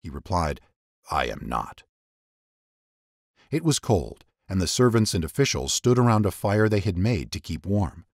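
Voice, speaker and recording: A man reads scripture as a dramatic narrator. His low voice swings widely in pitch as he sets each phrase apart in measured storytelling. The recording is close and dry. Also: big pitch swings, dry recording. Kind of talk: dramatic scripted Bible reading.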